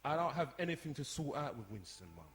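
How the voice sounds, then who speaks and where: A man's voice: on the high side, very animated, quick pace, fairly bright tone. A man playing an exasperated, outspoken young older brother in a radio drama, chiding and emphatic. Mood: aggrieved. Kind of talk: radio soap acting before a live audience. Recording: echoey hall, long reverb.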